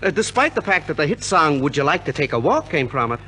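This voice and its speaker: Showman talking up his shows, highish voice, really swoopy, old fifties radio drama, bit of noise. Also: very animated, fast talker, pushed and bright. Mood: matter-of-fact. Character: brisk showman recounting his own productions. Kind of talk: 1950s radio dramatisation acting. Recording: faintly noisy 1950s radio transcription.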